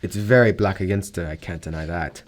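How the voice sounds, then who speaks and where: A man's voice: low voice, fairly quick, natural voice. A man acting a proud, overbearing wealthy man in a radio drama, formal and domineering in manner. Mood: stern, sober.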